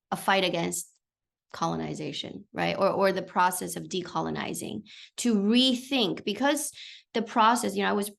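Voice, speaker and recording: A woman's voice: medium pitch, vocal fry, a bit bright. A woman of around forty from China, speaking English with a Chinese accent, giving a thoughtful, searching answer at her dissertation defense and often checking in with "right?". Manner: thoughtful, searching for words, frequent tag "right?". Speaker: doctoral candidate defending a dissertation. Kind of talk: answering a committee's question in an academic defense.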